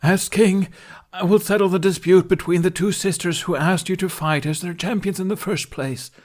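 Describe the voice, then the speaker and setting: Man voicing an exasperated young woman playing king, high-pitched. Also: steady tone, normal speed, a little mellow. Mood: grand, strained. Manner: strained, declamatory stage delivery. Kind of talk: character voice in fan fiction reading.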